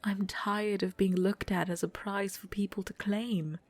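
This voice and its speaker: Woman acting a proud, passionate goddess, husky whispery timbre, slow pace, ASMR roleplay. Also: medium pitch, steady tone, lightly acted. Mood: angry, indignant. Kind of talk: ASMR roleplay audio drama.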